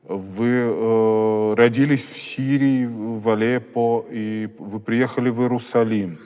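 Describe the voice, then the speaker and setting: A male journalist interviewing a guest, asking his questions haltingly and rephrasing as he goes. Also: fairly low pitch, steady tone, warm tone. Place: large stone church. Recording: long church reverb.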